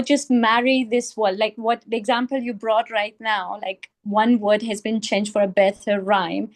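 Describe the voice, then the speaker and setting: A woman whose first language is Persian asks a panel a long question in English. Her voice is fairly high. She searches for words, with many ums and restarts. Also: a little mellow. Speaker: audience member asking a question. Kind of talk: panel discussion.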